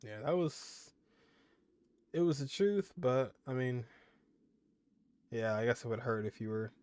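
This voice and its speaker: A man's voice: mid-range voice, animated, a little slow, a little mellow, clean tone. A man doing casual let's-play commentary, offhand and jokey, half talking to himself while he plays. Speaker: gaming streamer. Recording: game music behind the voice.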